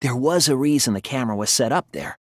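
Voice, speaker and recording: Male actor as young student detective, earnest, analytical, slightly breathy husky tone, expressive swings in pitch, deliberate explaining, video game dialogue. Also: no room sound, clean studio sound, close to an everyday voice. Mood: measured reasoning. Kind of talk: scripted video game voice acting, deduction speeches.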